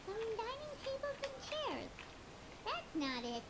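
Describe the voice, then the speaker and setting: Very high squeaky little companion creature. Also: female voice, huge pitch swings, a little slow, very noisy, heavily acted. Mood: eager, curious. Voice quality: squeaky, childlike. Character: small childlike female floating companion creature, eager and bossy. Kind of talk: anime game voice acting. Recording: loud game music underneath.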